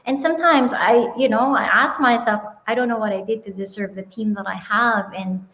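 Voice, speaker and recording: A woman in her thirties with a Filipino accent, telling her founder story in an animated, upbeat way with frequent ums and laughs, on a video call. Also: fairly high pitch, moderate pace, echoey room. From Philippines. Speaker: guest, company founder. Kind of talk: casual interview chat. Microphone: webinar video call.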